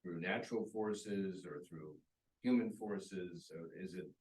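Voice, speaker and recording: Low male voice, hesitant questioner, lots of fillers, distant from the mic. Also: darkish tone. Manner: hesitant, halting, many fillers. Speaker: class participant. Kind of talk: asking questions from the audience. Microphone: off-mic, far from microphone.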